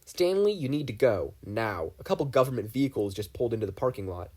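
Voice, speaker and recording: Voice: mid-range voice, big pitch swings, quick pace, a little mellow. A reader voicing an older man giving urgent commands.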